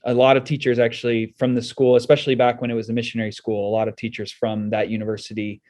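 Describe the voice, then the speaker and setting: American man, even-toned interview guest. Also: medium pitch, fast talker, dry recording. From United States and South Korea. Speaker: school sports director, interview guest. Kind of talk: casual interview answers.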